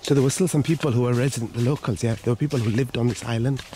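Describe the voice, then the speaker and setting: A man's voice: medium pitch, rapid-fire, mellow. A man from Sri Lanka giving casual, chatty walking-tour commentary outdoors into a handheld camera, often repeating himself. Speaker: vlogger. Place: outdoors on resort garden paths. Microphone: handheld camera microphone, speaker behind camera. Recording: outdoor handheld camera, clear background noise.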